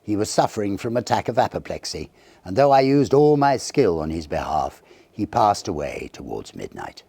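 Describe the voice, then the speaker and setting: A man giving a theatrical, measured narration of a Victorian ghost story, with dramatic pauses. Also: fairly low pitch, sing-song, creaky, darkish tone, husky, no echo. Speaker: audiobook narrator.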